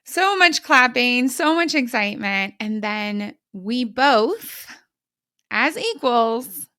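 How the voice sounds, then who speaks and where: A woman's voice: fairly high pitch, lively intonation, slow pace, bright, forceful tone, smooth, no room sound, studio quality. A woman in her thirties hosting a podcast, telling a story with enthusiasm and chatting casually with her guest. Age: 30s. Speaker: podcast host. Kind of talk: casual conversational interview.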